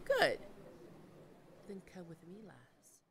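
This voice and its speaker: A woman playing a commanding, mocking and seductive female pirate captain in an audio roleplay, with theatrical, teasing, drawn-out menace. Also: medium pitch, wildly swooping pitch, creaky, slightly rough, exaggerated voice. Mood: commanding, threatening. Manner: theatrical, teasing, drawn-out menacing delivery with archaic turns of phrase. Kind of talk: ASMR roleplay acting.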